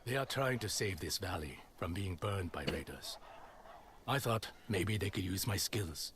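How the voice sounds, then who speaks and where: Wry, lively low-voiced ronin. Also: male voice, a little slow, background noise. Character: wry masterless swordsman for hire, confident. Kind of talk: video game dialogue.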